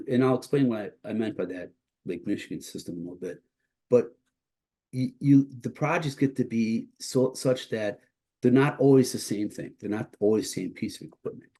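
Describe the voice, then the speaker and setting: A man giving an informal instructional presentation as a college instructor, explaining haltingly with a congested, slightly husky voice that is starting to give out. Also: medium pitch, mellow. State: congested, starting to lose his voice.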